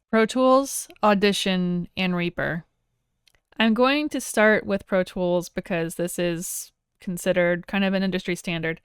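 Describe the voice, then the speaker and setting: A woman narrating an informal software tutorial in a plain-spoken, explanatory way. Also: mid-range voice, clear, resonant tone, no room sound, clean studio sound. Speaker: audio software instructor.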